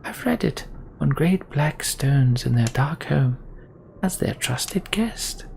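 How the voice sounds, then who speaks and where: Man putting on a high, hushed, theatrical storyteller woman. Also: lively intonation, slow, vocal fry, a little hiss or hum, full character voice. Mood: theatrical, knowing.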